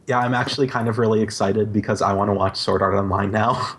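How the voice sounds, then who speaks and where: Lowish-voiced man in casual podcast chat. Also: fairly even intonation, quick pace, fairly bright tone.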